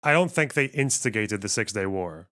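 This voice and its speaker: A man's voice: fairly low pitch, animated, moderate pace, a bit bright. A man hosting a livestream, thinking aloud in a rambling, wry way while reading out chat. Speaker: livestream host.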